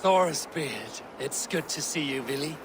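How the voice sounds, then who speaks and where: Steady Viking warrior, slightly high-pitched. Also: male voice, measured pace, creaky, noisy background. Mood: friendly, teasing. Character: loyal, steady Viking warrior, poetic-hearted ally. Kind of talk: cinematic game acting.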